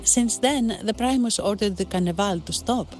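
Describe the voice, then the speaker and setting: An actress playing a composed, dutiful bodyguard and guide in a video game, speaking in a measured, matter-of-fact, reserved way. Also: female voice, mid-range voice, a little mellow, faint music behind, natural voice. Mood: explanatory. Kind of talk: video game story dialogue acting.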